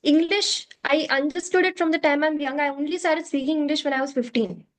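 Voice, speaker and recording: A woman's voice: sits high, brisk. A woman from South India with an Indian accent, a native Hindi speaker, answering interview questions earnestly and often restating her points. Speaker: curriculum developer, interview guest.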